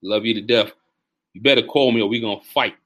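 Bright-voiced man in his forties. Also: on the low side, fast talker. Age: 40s. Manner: animated, repeats words, gives shout-outs. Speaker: fitness coach and live stream host. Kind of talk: casual live stream chat.

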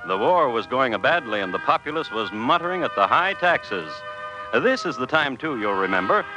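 A male narrator tells an old-time radio story with wry, mock-scholarly flourish. His medium-pitched voice moves expressively through ornate sentences, with music clearly playing behind him. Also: poor audio.

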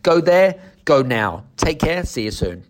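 Male host outro, unhurried, deliberate spelling. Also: on the high side, big pitch swings, a little hiss or hum. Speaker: podcast host. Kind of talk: scripted show outro.

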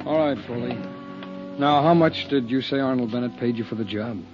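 An actor playing a forceful official who hammers a prisoner with insistent questions in a 1950s radio drama, with an American accent. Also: male voice, mid-range voice, normal speed, natural voice. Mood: badgering, incredulous. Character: forceful, badgering male official interrogating a prisoner. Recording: dull 1950s radio recording, music behind.

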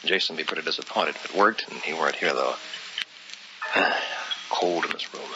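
An actor playing an uneasy, hesitant gentleman drawn into the occult, in dramatic old-time radio horror style. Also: extremely low pitch, normal speed, background noise. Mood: brooding, uneasy. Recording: dull old radio recording, music behind.

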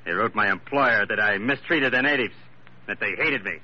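A man's voice: fairly low pitch, normal speed, close to an everyday voice. An actor playing an insistent, menacing plantation manager in an old-time radio drama, pressing his case urgently. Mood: urgent pleading. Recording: muffled, noisy old radio recording.

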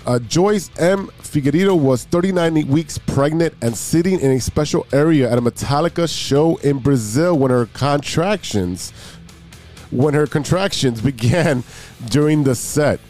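Guy, stuffed-up nasal voice, mellow tone, rambling jokey podcast host, soft music under. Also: a little high, lively intonation, unhurried. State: stuffy nose from allergies. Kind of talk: casual sports and news chat.

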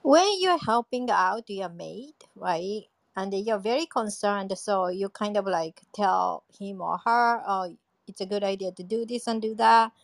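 A woman's voice: medium pitch, unhurried, vocal fry, no room sound. Hesitant woman in a dry room. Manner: searching, hesitant phrasing with fillers. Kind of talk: casual advice chat.

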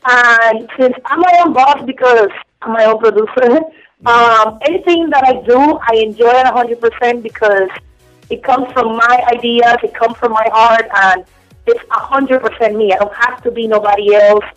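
A woman's voice: a little high, moderate pace, a bit bright, dry recording, soft background music. A young woman from Cuba with a Spanish accent, talking frankly and giggling as a phone-in guest on a casual radio interview. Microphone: telephone. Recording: dull lo-fi phone line.

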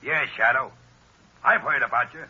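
An actor playing a tough, bossy gangster leader with a rough voice and curt, slangy speech in an old-time radio drama. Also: male voice, mid-range voice, moderate pace, sharp tone, exaggerated voice. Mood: bossy, impatient. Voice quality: rough, husky. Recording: lo-fi vintage radio recording.

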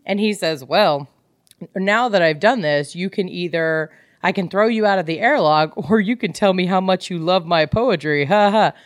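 A woman co-hosting a casual book discussion, retelling things in an animated way and acting out dialogue. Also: fairly low pitch, normal speed, dry recording, clean studio sound. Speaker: podcast co-host.

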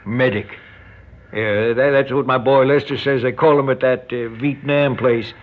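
A man's voice: medium pitch, fairly even intonation, moderate pace, natural voice. An actor playing a menacing, folksy rural American father turned kidnapper in a radio drama, drawling with mock friendliness. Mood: taunting. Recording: dull lo-fi radio recording.

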